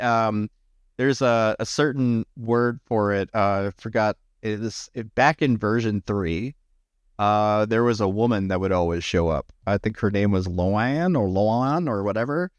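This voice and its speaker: A man chatting casually to his livestream viewers, rambling with many restarts and sounding slightly hoarse after hours of talking. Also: fairly low pitch, no echo, dull audio. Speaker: livestreamer and AI art tutorial maker.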